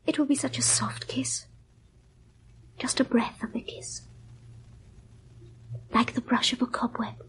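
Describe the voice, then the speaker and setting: An actress playing a fragile, wistful young wife in a radio drama, speaking in a hushed, slow, dreamy and insistent way with a breathy voice. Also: female voice, a little high, steady tone, whispered, poor audio. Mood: dreamy, soothing. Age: young adult. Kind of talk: old radio drama acting.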